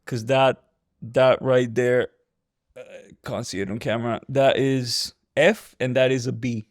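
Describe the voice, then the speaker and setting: A man's voice: mid-range voice, steady tone, unhurried, no room sound, clean studio sound. A man in his thirties hosting a podcast interview, chatty and friendly, laughing often and asking open questions. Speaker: podcast host. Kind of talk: casual interview chat.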